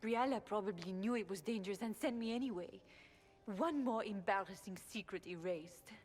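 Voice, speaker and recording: A woman's voice: mid-range voice, normal speed, darkish tone, a little hiss or hum, natural voice. A woman playing a guarded, bitter young elven servant in a video game, speaking earnestly.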